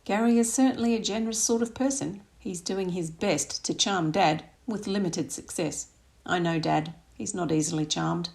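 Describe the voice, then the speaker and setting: A woman reading a romantic comedy aloud as expressive audiobook narration. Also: on the low side, normal speed, vocal fry.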